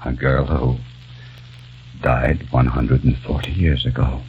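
An actor playing a grieving young man who narrates and speaks in a grave, hushed, sorrowful way in a 1940s radio drama. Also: rumbling low, steady tone, slow pace, slight background noise, full character voice. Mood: quiet, grave. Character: grieving young man haunted by lost love. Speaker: narrator and lead. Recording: dull lo-fi old radio recording with faint music.

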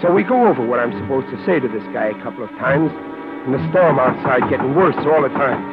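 A man's voice: medium pitch, lively intonation, fast talker, natural voice. An actor playing a brash small-time tough guy in a 1940s radio drama, wisecracking in streetwise slang. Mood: wry storytelling. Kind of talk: 1940s radio drama acting and first-person narration. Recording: dull vintage radio recording with music behind.

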